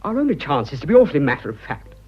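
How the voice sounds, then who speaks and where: An actor playing an earnest, awkward young English businessman in love, halting and self-conscious, in a period radio drama. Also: a little high, sing-song, slightly rough, lo-fi sound. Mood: choked up. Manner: halting, self-conscious, blurting, clipped old-fashioned speech.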